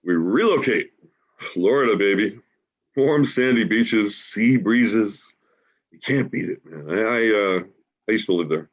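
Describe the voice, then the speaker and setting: A man in his forties acting a grieving, wry homeless man in a staged play reading, halting and emotional in a conversational way. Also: lowish voice, lively intonation. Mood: wry, easygoing, then wistful, sorrowful. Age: 40s. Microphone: video call. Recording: dull, lo-fi video call audio.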